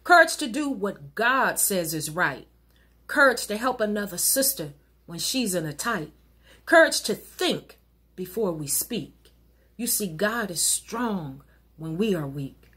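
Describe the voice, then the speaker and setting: A middle-aged woman minister reciting an inspirational rhyming poem in an earnest, rhythmic, exhorting way, with a slightly husky voice. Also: medium pitch, fairly bright tone. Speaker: minister and poet. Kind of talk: recited rhyming spoken-word sermon poem. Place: wood-paneled living room at home.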